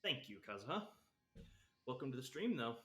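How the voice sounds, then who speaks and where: A man's voice: medium pitch, very animated, moderate pace, sharp tone, reverberant. A man casually chatting to his viewers as he livestreams a video game, with an informal, playful manner. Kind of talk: casual livestream chat while gaming.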